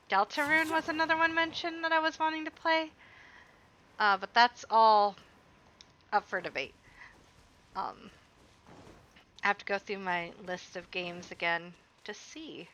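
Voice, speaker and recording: Woman streamer, bright crisp tone, rambling casual chat over gameplay. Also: mid-range voice, measured pace, pauses often, muffled recording, a little hiss or hum. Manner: rambling, talks back to the game as she plays. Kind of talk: casual chat while gaming.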